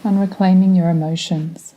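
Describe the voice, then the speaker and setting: A woman guiding a meditation in a gentle, soothing, unhurried way. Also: fairly low pitch, fairly even intonation, rough recording. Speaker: guided meditation instructor. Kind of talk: guided meditation narration.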